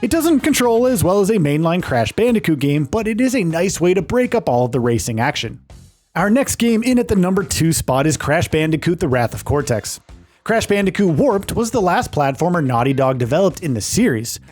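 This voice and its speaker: Male video host, high-pitched voice, very animated intonation, upbeat scripted countdown narration, light music underneath. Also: a little fast.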